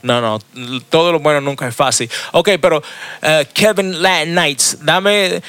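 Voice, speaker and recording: A man's voice: a little high, a little slow, fairly bright tone, clean tone, no room sound. A man hosting a podcast, chatting casually and switching between Spanish and English slang. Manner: laid-back, mixes Spanish and English slang. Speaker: podcast host. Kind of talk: casual bilingual interview chat.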